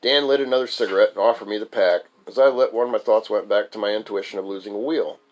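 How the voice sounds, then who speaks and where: A man's voice: lowish voice, fairly even intonation, fast talker, rough recording. A man reading his own first-person story aloud as its author, in a recitation style with the occasional stumble over the text.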